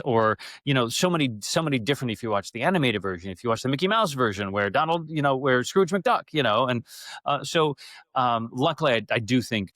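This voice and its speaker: A man speaking as an interview guest about his theater work, in casual, animated, effusive storytelling. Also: fairly low pitch, no echo, studio quality. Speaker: interview guest, theater actor and radio-theater adapter.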